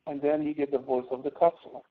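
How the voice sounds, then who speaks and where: A man teaching a spreadsheet lesson online, explaining informally and a little haltingly. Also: a little high, little pitch movement. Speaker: instructor. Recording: tinny phone-like audio.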